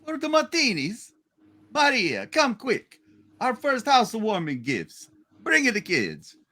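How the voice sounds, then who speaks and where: A man voicing a proud, excitable immigrant homeowner in a hammed-up radio play reading, with some room noise. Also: very high voice, a little slow, pitched above the performer's own voice, heavily acted. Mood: proud, excited.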